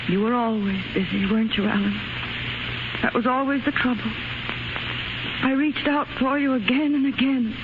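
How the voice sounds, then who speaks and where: A woman's voice: fairly high pitch, steady tone, normal speed, pauses often, lightly acted. An actress playing a desperate estranged wife and mother, impassioned and pleading, in 1930s radio drama style. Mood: bitter reproach. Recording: noisy muffled 1930s radio recording.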